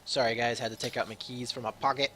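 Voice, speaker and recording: A young man doing solo play-by-play commentary on an online shooter match, his voice slightly raspy from a long day, filling pauses with "uh" and getting excited on big plays. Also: medium pitch, slight background noise. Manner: chatty, fills with "uh", grows excited on big plays. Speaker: shoutcaster.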